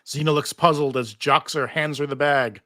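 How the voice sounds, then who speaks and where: A man's voice: a little high, steady tone, normal speed, bright, forceful tone, no room sound, clean studio sound. A man narrating stage directions from a script at a live table read.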